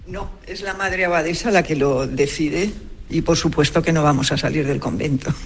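A woman who is a cloistered nun, explaining her order's customs in a calm, measured way. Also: sits low, gravelly, breathy edge.

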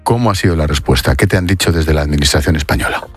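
A man's voice: extremely low pitch, quick pace, slightly rough, soft background music. A man with an accent from Spain, presenting news and interviewing guests in a broadcast style.

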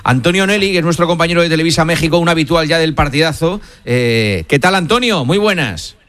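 A man's voice: on the high side, lively intonation, a little fast, a bit bright, dry recording. A man hosting a casual sports radio show, chatting with colleagues.

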